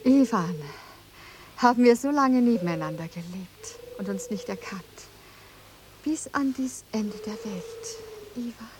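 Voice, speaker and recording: A woman's voice: on the high side, animated, a little slow. An actress playing a tender woman saying farewell to her love, plaintive and intimate, in a radio drama. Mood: tender, fearful. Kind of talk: German radio drama acting. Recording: clear background noise.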